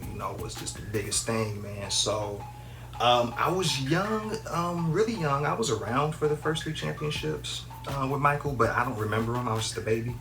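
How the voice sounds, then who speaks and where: Rambling, low-voiced casual man. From Minnesota. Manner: rambling, conversational, lots of fillers. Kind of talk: casual chat. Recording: music playing behind the voice.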